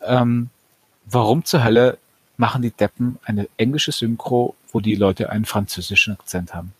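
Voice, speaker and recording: A man co-hosting a casual podcast chat, enthusiastic and quick to swear, often restarting his sentences. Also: on the low side, steady tone, slightly rough. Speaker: podcast co-host.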